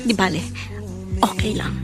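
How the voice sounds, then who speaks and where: A woman's voice: mid-range voice, unhurried, over music, lightly acted. An actress playing a heartbroken young woman talking to herself in a radio drama. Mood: resigned. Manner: sad, resigned monologue. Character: lovelorn young woman giving up on her lost love.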